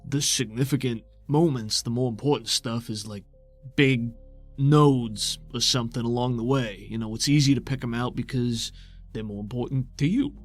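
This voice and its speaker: A man's voice: mid-range voice, moderate pace. A man improvising in-character dialogue and narration as game master of a tabletop role-playing show, with casual, folksy phrasing. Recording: music bed behind the voice.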